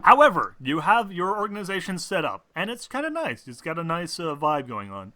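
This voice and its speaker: A man narrating a tabletop roleplaying game as game master, in an informal, halting, sweary way. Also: a little high, big pitch swings, sharp tone.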